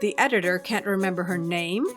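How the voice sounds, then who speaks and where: A woman's voice: lowish voice, a little fast, soft background music. A woman narrating a scripted recap for an audio drama.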